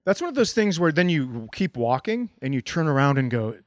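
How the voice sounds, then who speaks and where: A man with a slightly high voice tells casual, comic stories as a podcast co-host. His pitch jumps around as he acts out conversations, and he is recorded close and dry. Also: a little fast, dry recording. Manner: animated, acts out quoted dialogue. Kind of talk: casual comedic storytelling.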